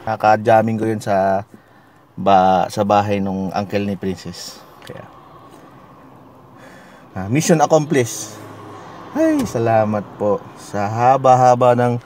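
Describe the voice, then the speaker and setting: Slow-talking Filipino man vlogging. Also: on the low side, expressive, a little mellow. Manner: mixes Tagalog, English and Spanish, relieved and chatty. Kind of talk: casual vlog chat. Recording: noisy street ambience with traffic.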